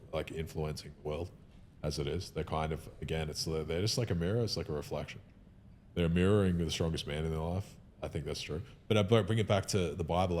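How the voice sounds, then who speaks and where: A man's voice: a bass voice, a little hiss or hum. A man talking in a casual podcast conversation, opinionated and emphatic, often breaking off and restarting his sentences.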